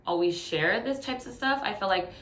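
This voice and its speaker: A woman's voice: medium pitch, expressive, moderate pace, a bit bright. A woman in her late twenties giving a chatty, candid personal update to her phone in a living room, in a casual vlogger style. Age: late 20s. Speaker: YouTube vlogger. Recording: echoey room sound on phone.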